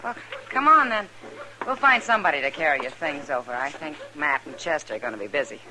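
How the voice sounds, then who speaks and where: A woman's voice: deep voice, lively intonation, fast talker. An American woman acting a friendly, frank, reassuring frontier saloon worker in a 1950s radio western. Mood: breezy. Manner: direct, reassuring. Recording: noisy old radio recording.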